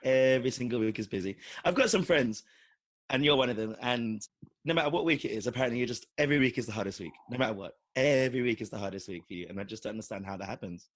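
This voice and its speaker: A tired British man with a husky voice, co-hosting a podcast in casual, teasing chat. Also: medium pitch, fast, no room sound. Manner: teasing, playful. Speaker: podcast co-host.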